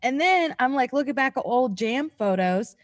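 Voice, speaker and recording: A woman co-hosting a podcast, chatting casually in animated, slangy banter. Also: high-pitched, moderate pace. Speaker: podcast co-host. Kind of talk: casual chat.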